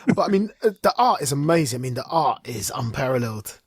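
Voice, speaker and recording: A man with a husky voice, chatting casually as a podcast host and reviewer. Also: mid-range voice, very animated, fast talker. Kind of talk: casual chat, comics review.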